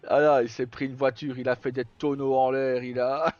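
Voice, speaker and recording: A man live streaming, casually telling roleplay stories in a rambling, halting way with pauses mid-sentence. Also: medium pitch, flat delivery, a little mellow. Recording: slightly muffled, roomy, faint music. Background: background music.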